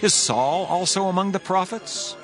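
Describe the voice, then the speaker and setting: A man's voice: high voice, normal speed, over music, natural voice. A man playing an astonished, incredulous townsman in a dramatized scripture audio drama. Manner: incredulous questioning. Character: astonished male townsman questioning a neighbor.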